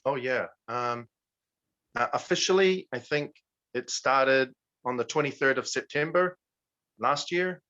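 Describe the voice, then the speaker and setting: A man's voice: mid-range voice, measured pace, bright, forceful tone, highs cut off. A man from New Zealand whose accent mixes New Zealand with North American, chatting casually as he answers questions as a guest. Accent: New Zealand with North American touches. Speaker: native English speaker guest answering students' questions. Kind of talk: casual informal question-and-answer on a video call.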